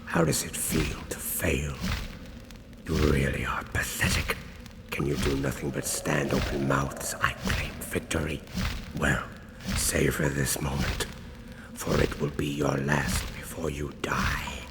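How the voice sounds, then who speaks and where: Very deep, gravelly gloating henchman. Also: fairly even intonation, background noise, exaggerated voice. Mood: smug gloating. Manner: sneering, measured. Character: gloating, arrogant male villain henchman. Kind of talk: video game villain acting. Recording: music behind the voice.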